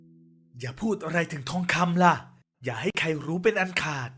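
A woman's voice: sits low, moderate pace, slightly rough. A woman voicing male characters in a children's fairy tale, urgent and secretive. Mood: cautious. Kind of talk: children's storybook character acting.

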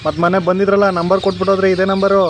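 A man's voice: high-pitched, monotone, very fast. A man with a rural southern Karnataka Kannada accent making a prank phone call, casual and insistent, pestering with feigned earnestness. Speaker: prank caller. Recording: noisy phone call recording.

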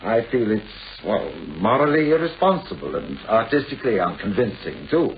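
An actor playing an anxious, self-absorbed writer in a radio play, with a British accent and a formal, wordy, hesitant manner. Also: male voice, on the low side, sing-song, normal speed. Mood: confiding, troubled. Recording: dull, hissy old radio recording.

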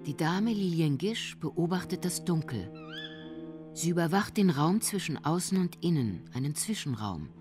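Lowish female narrator, very lively melody, music behind her. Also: moderate pace. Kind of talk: scripted essayistic radio narration.

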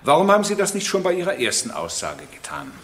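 An actor playing a stern, formal presiding judge in a radio crime drama, speaking with authority. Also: male voice, a little high, huge pitch swings, fast talker, fairly bright tone. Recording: roomy, echoing courtroom sound.

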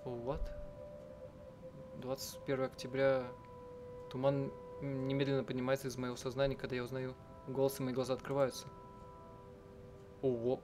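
Low-voiced man narrating a game. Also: monotone. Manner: halting, self-correcting delivery. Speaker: game streamer and live translator. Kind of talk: let's-play narration, reading and translating aloud. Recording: game music under the voice. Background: game music.

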